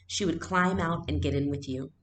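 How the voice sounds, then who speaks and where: A woman voicing a thoughtful young elder sister from a storybook, earnest and lively, sometimes sarcastic. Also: deep voice, moderate pace, reverberant, close to an everyday voice. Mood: fond.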